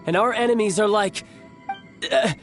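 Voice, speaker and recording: A man's voice: high-pitched, moderate pace, a bit bright. A man voicing a grandiose young military commander in a video game, with a pompous, theatrical delivery. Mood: improvising, authoritative. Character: young male military commander, grandiose, bluffing as toy salesman. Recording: game music behind the voice.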